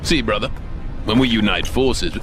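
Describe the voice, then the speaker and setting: A man's voice: fairly low pitch, normal speed, natural voice. A man voicing a noble, hearty thunder god in a video game, speaking in a grand archaic manner. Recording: music behind.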